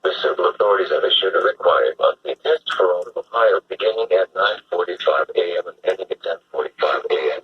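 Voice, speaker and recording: Male synthetic voice, very low pitch, emergency announcer, flat scripted delivery, muffled radio sound. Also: fast talker, hardly any pauses, husky. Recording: muffled, noisy weather radio broadcast.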